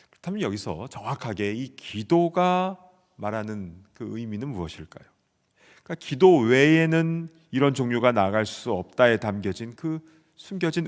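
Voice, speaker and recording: Korean pastor preaching in a reverberant church. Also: male voice, on the low side. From South Korea. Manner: earnest storytelling, shouting out quoted soldier replies. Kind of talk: sermon. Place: church sanctuary. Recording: long hall reverb.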